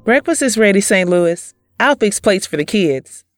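An actress playing a gentle, trusting wife and mother, politely spoken, in an audio drama. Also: medium pitch, animated, moderate pace, no room sound, soft background music, lightly acted. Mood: kindly.

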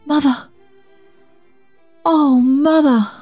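Woman as little storybook boy, raised pitch, childlike, earnest, pleading, eager. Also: moderate pace, a little mellow, lightly acted. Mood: overjoyed. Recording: roomy echo, faint music.

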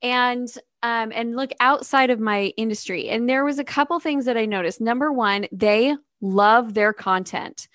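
Woman podcast host, slightly high, chatty storyteller, dry sound. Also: normal speed, few pauses, fairly bright tone, no room sound. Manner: storytelling with acted-out inner quotes, many "like"s. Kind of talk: casual podcast chat.

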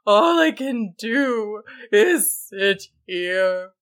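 A woman voicing a gigantic troll in pain for a storybook, pleading in a loud, slow, bellowing wail. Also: medium pitch, animated. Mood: pained, distressed. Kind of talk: storybook character voice.

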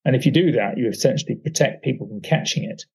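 A man's voice: medium pitch, fast talker, gravelly, darkish tone, breathy edge. A male professor explaining as an interviewed expert, hesitant and repeating words as they go.

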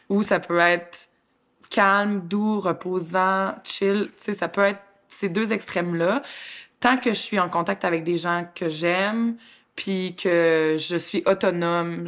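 A woman with a Québécois accent co-hosting a podcast, chatting in a lively, expressive, colloquial way and laughing often. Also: lowish voice. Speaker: podcast co-host. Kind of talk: casual chat.

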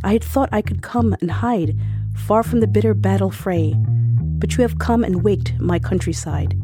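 A woman recites verse in a medium-pitched voice. Plucked guitar music plays loudly behind her reading. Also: expressive, moderate pace. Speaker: poem reader. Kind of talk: poetry recitation.

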